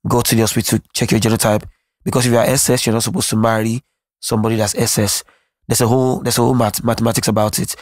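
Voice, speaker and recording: Nigerian man, Yoruba native speaker, earnest musician guest, level even tone, dry close mic. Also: mid-range voice, fast talker, no echo. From Nigeria (Lagos). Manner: earnest, reflective, frequent restarts. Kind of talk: candid interview conversation.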